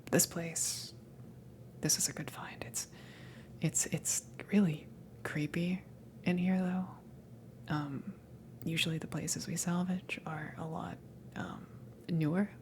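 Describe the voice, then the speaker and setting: A woman playing a friendly, awkward alien salvage worker in a whispered ASMR roleplay, rambling casually in a soft, breathy, halting way. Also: on the low side, fairly even intonation. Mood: casual, uneasy. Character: friendly big-headed, tailed alien salvage crew member, awkward, easygoing.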